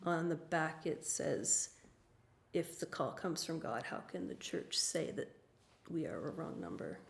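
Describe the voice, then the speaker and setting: A woman talking casually and a little haltingly at an open mic, modest in manner. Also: low voice, lively intonation, creaky. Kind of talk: casual chat.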